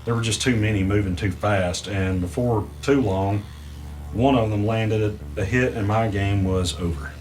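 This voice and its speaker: A weary, anxious storyteller, played by a man narrates a mystery audio drama in the first person. The voice sits low, with measured, halting delivery and deliberate pauses, over faint music. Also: fairly even intonation, darkish tone, close to an everyday voice. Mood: wry, suspenseful recounting. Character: weary, anxious male narrator recounting an eerie arcade ordeal.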